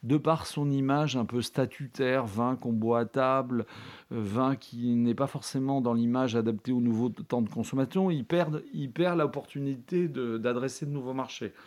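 A man from the Bordeaux area, a winemaker answering interview questions in a thoughtful, halting way with many restarts. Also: mid-range voice, fairly even intonation, a little mellow. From France, Bordeaux area.

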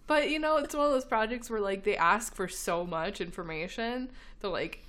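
A woman co-hosting a relaxed podcast, talking in a middle pitch. She rambles with plenty of fillers and keeps breaking into laughter. There is a slight congestion in her voice. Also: normal speed. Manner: laughs often, chatty with many fillers. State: slightly congested, recovering from illness. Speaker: podcast co-host. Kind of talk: casual chat.

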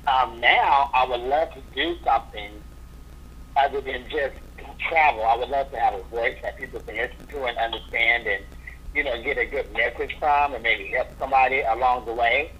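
A man's voice: medium pitch, normal speed, slight background noise. A man, a drag performer, talking as a guest in a casual interview, sassy and blunt in his opinions. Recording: dull lo-fi recording.

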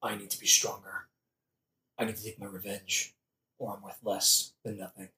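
A man acts a brooding young prince in a modern audio drama. His voice is fairly low and sharp, an intense, self-reproaching soliloquy. The room around it echoes. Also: little pitch movement, normal speed. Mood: bitter self-reproach. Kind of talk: satirical modern audio play acting.

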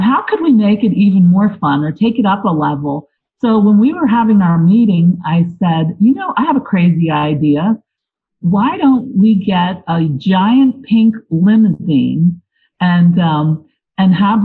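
A woman's voice: on the low side, slightly rough. A woman from Pennsylvania, a volunteer guest, chatting casually and telling a story in an interview. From Lebanon, Pennsylvania. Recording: tinny phone-like line.

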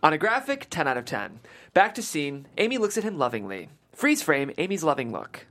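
A man's voice: a little high, big pitch swings, a little fast, a bit bright. A man hosting and reading script directions aloud, upbeat and chatty in his presenting. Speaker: show host and stage-direction reader.

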